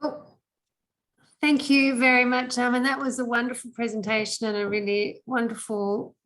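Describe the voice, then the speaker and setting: A woman's voice: a little high, flat delivery, moderate pace, a bit bright. Woman moderating an online meeting.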